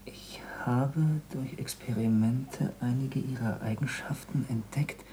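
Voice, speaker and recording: A man acting in a German radio play as an earnest, reproachful former pupil of a scientist, gravelly, formal and terse, on a 1960s radio recording. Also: mid-range voice, takes it slowly, warm tone, slight background noise, natural voice. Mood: stunned, hushed.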